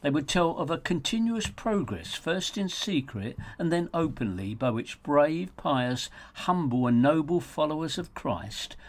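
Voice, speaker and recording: A man reading his own audiobook in a theatrical, sardonic, emphatic storytelling manner, with a slightly husky voice. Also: fairly high pitch, big pitch swings, unhurried, hardly any pauses. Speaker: author narrating own audiobook. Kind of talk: scripted audiobook narration.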